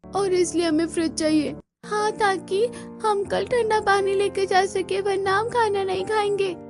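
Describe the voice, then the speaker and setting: A woman's voice: very high-pitched, fairly even intonation, measured pace, over music. A woman voicing a stubborn, demanding schoolchild in a scripted cartoon, whining and insistent. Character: demanding, stubborn school-age child in a poor family.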